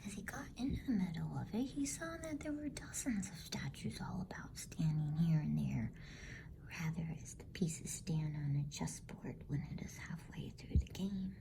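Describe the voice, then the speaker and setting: A woman reading a bedtime story as ASMR in a hushed, unhurried whisper. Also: low-pitched, animated, gravelly.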